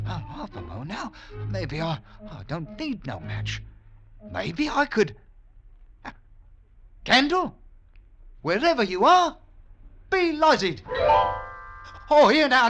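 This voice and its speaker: An actor playing a pompous, excitable working-class Englishman with a Cockney accent, telling his story in old-time radio comedy style, blustering in bad grammar and grand words. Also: high voice, exaggerated voice. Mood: flustered, amazed. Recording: vintage 1940s-50s radio recording.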